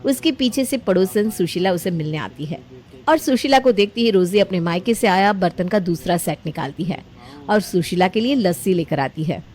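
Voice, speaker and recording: A woman's voice: lowish voice, animated, normal speed, darkish tone. A woman storyteller narrating a scripted domestic drama in a dramatic storytelling style.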